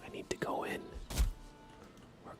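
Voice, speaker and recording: Slow, whispery man murmuring through a game. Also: over music. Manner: unhurried, murmured thinking aloud. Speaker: livestream host playing a video game. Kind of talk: ASMR gaming commentary, whispered and soft-spoken.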